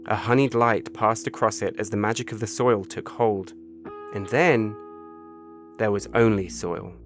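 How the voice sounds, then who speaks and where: A man reading his own short story as a scripted literary narration, measured and solemn. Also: fairly low pitch. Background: music bed.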